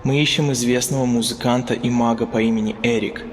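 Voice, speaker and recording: A man's voice: mid-range voice, fairly even intonation, quick pace, natural voice. A man playing a courteous, inquiring traveller in a horror audio drama. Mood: polite. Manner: polite, inquiring. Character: courteous man seeking a mysterious magician. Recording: long hall reverb.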